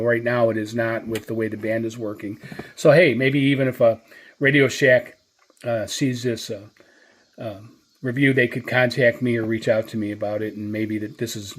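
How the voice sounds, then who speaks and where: A man giving a casual, unscripted product review as a channel host, speaking hesitantly and often circling back over his points. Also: mid-range voice.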